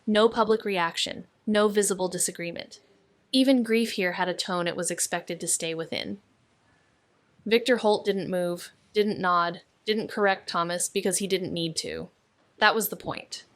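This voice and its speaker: An actress playing a watchful, cynical young woman sleuth, narrating a noir audio drama in a measured, wry way. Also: on the low side, a bit bright, lightly acted. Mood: wry, restrained. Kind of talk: scripted noir narration and dialogue.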